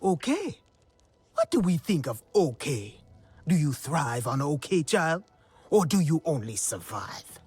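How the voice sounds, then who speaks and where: A woman playing a stern, challenging alien hunting mentor with a husky, breathy voice and probing manner in a game cutscene with music. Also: sits low, sing-song, unhurried, darkish tone, dry recording, full character voice. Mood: skeptical. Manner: probing, skeptical. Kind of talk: video game cutscene acting. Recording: music behind the voice.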